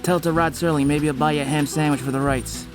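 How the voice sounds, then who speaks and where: A man's voice: fairly high pitch, fairly even intonation, fast talker, over music. A man announcing a scripted, joking advertisement for a podcast. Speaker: promo announcer.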